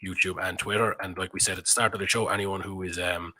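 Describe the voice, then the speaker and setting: Fast-talking man hosting a live stream, nasal today. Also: low voice, fairly even intonation, sharp tone. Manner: chatty, addresses viewers directly. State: nasal-sounding, has a cold. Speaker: host of a live betting stream. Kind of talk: casual live stream chat. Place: in Belgium.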